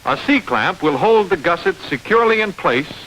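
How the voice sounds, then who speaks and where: Bright-voiced man narrating, hissy old film. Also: sits high, lively intonation, normal speed, rough recording. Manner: clear step-by-step instruction. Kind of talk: scripted instructional film narration.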